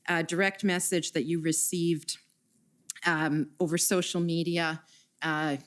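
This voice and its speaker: Woman, lawyer at a hearing, lowish voice, measured, procedural questioning, reads document numbers. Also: flat delivery. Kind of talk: formal hearing examination. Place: hearing room.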